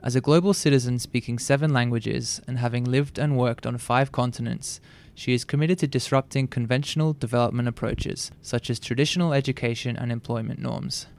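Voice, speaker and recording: Man, podcast host, gravelly creaky voice, measured, formal introductions, interviewer. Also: medium pitch, fairly even intonation, a little mellow. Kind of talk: interview hosting.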